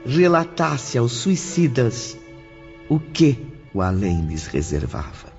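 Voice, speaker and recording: A man narrating a dramatized audiobook as a grave, formal storyteller with deliberate delivery. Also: medium pitch, big pitch swings, darkish tone. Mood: solemn. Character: measured, grave male narrator of a spiritist novel. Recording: dull lo-fi with clear music bed.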